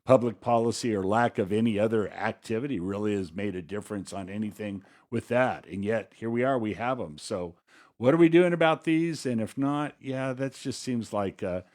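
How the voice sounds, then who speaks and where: A man co-hosting a podcast, giving conversational political commentary in a halting way, often pausing to rephrase. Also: lowish voice, a little mellow. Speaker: podcast co-host.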